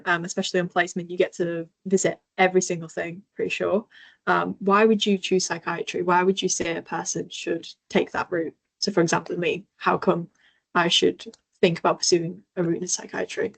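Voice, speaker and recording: A medical student on a podcast panel asks the guests casual, hesitant questions. The voice is flat and level, with a gravelly, creaky edge. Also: mid-range voice. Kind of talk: interview questions.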